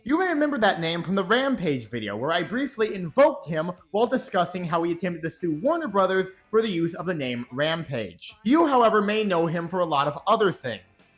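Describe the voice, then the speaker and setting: A man hosting a comedic movie review, narrating a script with sarcastic, deadpan asides and sudden dramatic reactions. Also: high voice.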